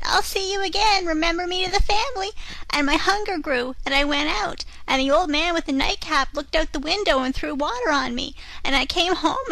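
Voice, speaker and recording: A woman with a Canadian accent voicing a hungry, whiny wooden puppet boy, pleading in a high voice. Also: pushed and bright, dry recording, full character voice, poor audio.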